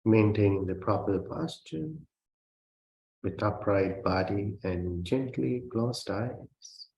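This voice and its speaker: A man who is a Buddhist meditation teacher, guiding a meditation in a gentle, measured way with long pauses between phrases. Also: fairly low pitch, creaky, lo-fi sound. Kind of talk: guided meditation. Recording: roomy, reverberant sound.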